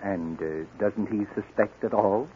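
An actor playing a cautious, fatherly doctor and advisor in 1940s radio drama style, measured and worried. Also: on the low side, natural voice. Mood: worried, cautioning. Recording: muffled, faintly noisy vintage radio recording.

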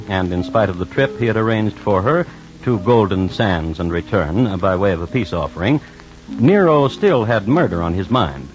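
A man playing a wry, droll narrator of historical crime tales in old-time radio style, deadpan and measured. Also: fairly low pitch, close to an everyday voice. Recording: dull old radio recording, music underneath.

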